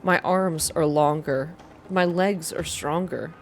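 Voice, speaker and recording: A woman reading first-person story narration aloud in a soft, hushed, measured ASMR style. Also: deep voice, a little hiss or hum. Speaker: narrator reading a visual novel game aloud.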